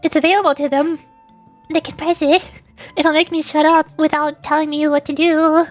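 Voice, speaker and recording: A woman with a small, squeaky, girlish voice, chatting casually and earnestly to viewers on a livestream. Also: level tone, moderate pace, soft background music. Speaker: streamer and VTuber, voice actor.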